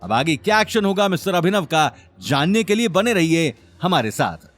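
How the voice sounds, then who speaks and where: A man acts a dramatic television news anchor. The bulletin delivery is animated, with wide swings of pitch. Soft music runs underneath. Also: moderate pace. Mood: urgent.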